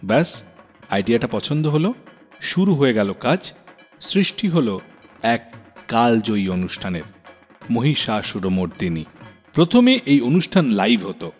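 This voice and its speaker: A man narrates a radio program in a practiced storyteller's way, his pitch sitting mid-range but swinging widely for dramatic effect. His voice rings with a long reverb, and soft music plays faintly underneath. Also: moderate pace, long pauses, slightly rough. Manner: expressive, dramatic storyteller pacing. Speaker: radio show host.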